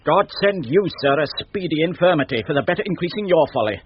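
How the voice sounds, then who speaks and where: An actor playing a quick-witted, teasing court fool in 1970s radio Shakespeare, with theatrical, riddling delivery. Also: male voice, on the high side, lively intonation, a little hiss or hum, close to an everyday voice. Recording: dull vintage radio recording.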